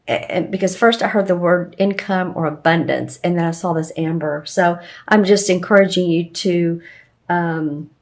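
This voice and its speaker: A woman giving a casual, rambling tarot reading to her viewers, with a slightly hoarse voice and plenty of fillers and restarts. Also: lowish voice. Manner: meandering, with frequent fillers and restarts. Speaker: tarot reader.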